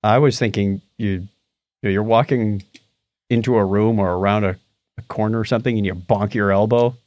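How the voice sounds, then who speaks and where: A man's voice: on the low side, steady tone, fairly quick, darkish tone, no room sound. A middle-aged man co-hosting a podcast in casual, bantering conversation. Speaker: podcast co-host.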